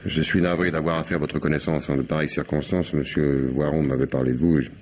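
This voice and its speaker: An actor playing an insistent police commissioner in a radio mystery, gruffly courteous, muttering hesitations before abrupt questions. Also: male voice, very deep voice, steady tone, fast, a little mellow, a little hiss or hum. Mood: courteous, hesitant. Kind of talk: French radio mystery play acting.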